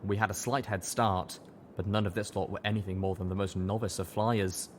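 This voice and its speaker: A man with a fairly low voice reading a fan-fiction audiobook in first person, steady and dramatic. A faint hiss of noise sits under the reading. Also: fairly quick. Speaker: audiobook reader.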